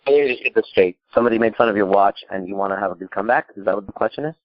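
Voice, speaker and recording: A man's voice: on the low side, very fast, dry recording, highs cut off. A man from Iran giving improvised advice as a call-in show host, emphatic and blunt, repeating himself and asking rhetorical questions. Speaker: podcast host and coach.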